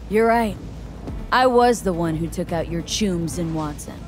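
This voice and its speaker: A woman's voice: lowish voice, a little slow, natural voice. An actress playing a cocky, hard-edged female mercenary in a video game, sardonic and unflappable. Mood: threatening. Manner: sardonic, street-slang, unflappable. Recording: music behind.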